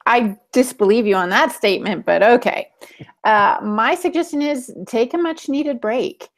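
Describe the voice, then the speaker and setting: A woman's voice: a little high, normal speed. A woman co-hosting a writing podcast, talking casually in a dry, matter-of-fact, teasing way. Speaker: podcast co-host and writer.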